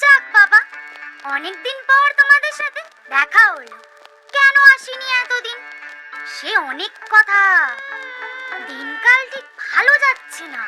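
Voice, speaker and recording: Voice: very high voice, sing-song, a little slow, pauses often, full character voice, low-quality recording. A performer voicing a cheeky, clever little goat kid in a cartoon, chatty and teasing in a sing-song way. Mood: chatty, confiding. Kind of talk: cartoon character acting and narrating to viewers. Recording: loud music behind.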